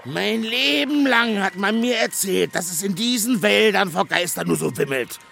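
An actor playing a stocky, hearty, talkative dwarf in a children's audio drama, with a gravelly, creaky voice and a blustery, excitable manner. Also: male voice, high voice, normal speed, faint music behind, heavily acted. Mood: chatty, blustery. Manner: blustery, excitable, colourful speech.

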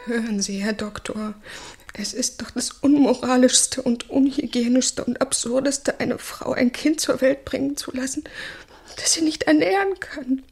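A woman's voice: high voice, unhurried, vocal fry, darkish tone, husky, exaggerated voice. An actress in a German radio play as a headstrong, anguished woman in her twenties, pleading and defiant. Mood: defiant, agitated. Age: 20s. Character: headstrong, anguished young woman in love, proud stenographer.

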